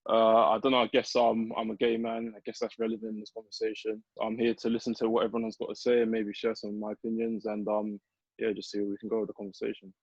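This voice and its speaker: Fast, monotone man. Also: fairly low pitch, breathy edge, no room sound, highs cut off. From West London. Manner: quick, casual. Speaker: rapper, panel guest.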